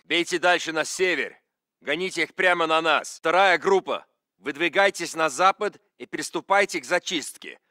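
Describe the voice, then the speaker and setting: An actor playing a tense, curt Russian soldier in a military video game, with a Russian accent. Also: male voice, fairly high pitch, normal speed, pushed and bright, no room sound, clean studio sound. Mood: terse.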